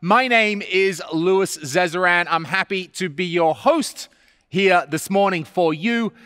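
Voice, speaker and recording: Aussie guy hosting a live stage event, bit of a higher voice, close dry mic but big hall tail. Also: slow pace, fairly bright tone, smooth. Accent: Australian. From Australia. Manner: upbeat, gestures while speaking. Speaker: event host. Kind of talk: presenting a live virtual trade event. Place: large stage studio with hall reverb.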